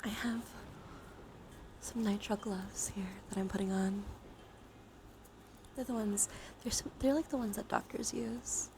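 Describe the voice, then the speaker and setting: A woman live streamer speaking softly, often in a whisper, in a gentle ASMR style mixed with casual chat. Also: medium pitch, a little slow, pauses often. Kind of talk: ASMR, soft chatty livestream talk. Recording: clear steady background noise.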